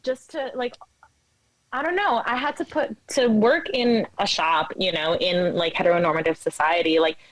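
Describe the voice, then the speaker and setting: A woman in her late twenties talking candidly and haltingly as a guest in a casual interview, with many filler words. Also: medium pitch, fairly even intonation, dry recording, rough recording. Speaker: interview guest, coffee shop manager. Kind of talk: casual conversational interview talk.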